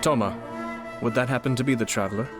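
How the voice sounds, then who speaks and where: A man playing a refined, self-assured young clan head in an anime-style game, formal, measured and gracious. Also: mid-range voice, over loud music, natural voice. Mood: courteous, composed.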